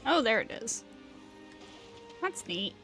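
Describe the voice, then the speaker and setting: A woman's voice: fairly high pitch, sing-song, slow pace, a bit bright. A woman livestreaming a game with casual, playful commentary, talking back to what happens on screen. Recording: game music behind.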